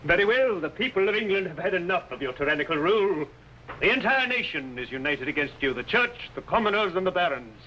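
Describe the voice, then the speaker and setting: An actor playing a bold, righteous medieval archbishop, speaking in a formal, firm, declamatory manner in an old television drama. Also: male voice, high-pitched, expressive, quick pace, bright, forceful tone, lo-fi sound. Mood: firm, solemn. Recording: noisy 1950s television soundtrack.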